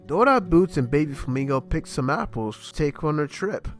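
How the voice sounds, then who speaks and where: A man reading a children's story aloud as narrator in an animated, expressive way. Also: a little high, normal speed, soft background music.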